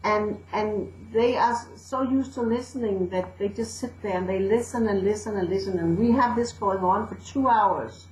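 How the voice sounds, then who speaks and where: A woman's voice: mid-range voice, moderate pace, hardly any pauses, darkish tone, roomy sound, slight background noise, rough recording. A woman from Denmark with a Danish accent, a storyteller and educator chatting in an interview with fillers and restarts. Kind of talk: casual interview talk.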